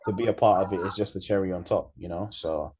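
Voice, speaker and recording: Low-voiced British man chatting casually. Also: fairly even intonation, fast talker, a crowd in the background. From United Kingdom. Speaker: interview guest, music producer. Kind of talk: casual chat. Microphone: video call. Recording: video call.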